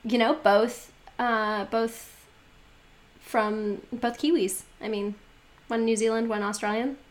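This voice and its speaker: A woman co-hosting a casual podcast, her voice sitting a little above average for a woman and edged with vocal fry. She trades quick, playful jokes in an easy conversational way. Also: a little high, fairly even intonation. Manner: playful, jokey banter. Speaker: podcast co-host.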